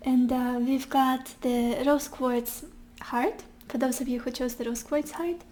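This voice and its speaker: A woman giving a casual pick-a-card tarot reading while losing her voice, speaking gently and reassuringly straight to the viewer. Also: high voice, steady tone, a little slow. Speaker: tarot reader.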